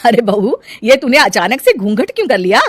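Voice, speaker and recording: A woman voicing a bossy middle-aged mother-in-law in a cartoon story, loud, questioning and scolding. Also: a little high, big pitch swings, fairly quick, breathy edge, dry recording. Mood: suspicious, questioning. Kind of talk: Hindi cartoon moral story.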